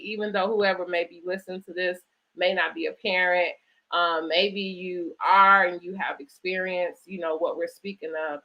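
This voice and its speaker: A woman hosting a conversational interview, speaking reflectively in halting phrases and often checking in with "right?" or "you know". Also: on the low side, pushed and bright, rough recording. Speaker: host interviewing an author. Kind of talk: conversational interview at a virtual book event.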